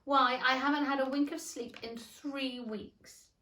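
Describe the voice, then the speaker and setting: A woman voicing a flustered, indignant pigeon in a storybook, shrill, scolding and suspicious, complaining in exclamations. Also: fairly even intonation, unhurried, bright, forceful tone, slight background noise. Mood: sobbing complaint. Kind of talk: storybook character reading. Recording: roomy, echoing room.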